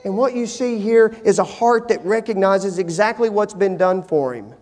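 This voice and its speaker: High-voiced preacher in a reverberant hall. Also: male voice, normal speed. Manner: dynamic, swings from hushed to emphatic. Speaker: pastor. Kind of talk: sermon.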